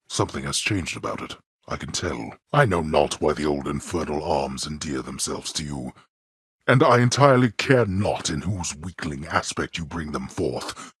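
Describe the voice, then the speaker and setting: A man playing a stern, imperious god of the underworld and disapproving father in a video game, with haughty, formal, deliberate delivery and a gravelly, husky voice. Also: very deep voice, a bit bright, dry recording, studio quality, heavily acted. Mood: haughty, grudging. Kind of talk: theatrical video game voice acting.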